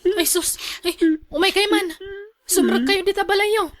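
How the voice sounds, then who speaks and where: A woman's voice: very high voice, animated, unhurried. A woman with an Ilocano accent playing a concerned younger relative in a radio drama, calling out and urging.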